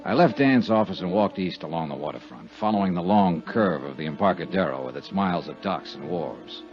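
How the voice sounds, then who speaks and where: A man's voice: sits low, animated, brisk, faint music behind. An American man playing a hard-boiled, brooding and self-assured insurance investigator in a 1950s radio detective drama. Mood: moody, somber. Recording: dull, muffled 1950s radio recording.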